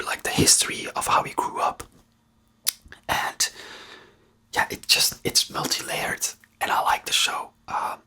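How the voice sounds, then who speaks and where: Whispering ASMR host with non-native English accent. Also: pushed and bright. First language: not English. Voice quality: breathy whisper. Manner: hesitant, rambling, searching for words.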